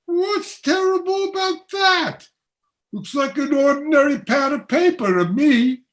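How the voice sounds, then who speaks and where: A woman's voice: high voice, measured pace. A woman playing a tired, skeptical, plain-spoken husband in a radio play. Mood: puzzled. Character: tired, grumbling, skeptical working husband Sam. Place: home, over a video call.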